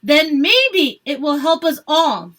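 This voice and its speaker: A woman voicing a defiant male migrant farm worker in a read-aloud story, calling out loudly and indignantly. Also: high voice, big pitch swings, slow pace, pitched above the performer's own voice, full character voice. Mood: angry, resolute.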